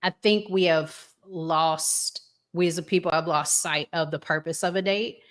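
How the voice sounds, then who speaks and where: A woman hosting a casual relationship talk show, speaking deliberately with pauses between phrases. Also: lowish voice, fairly even intonation. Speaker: podcast host.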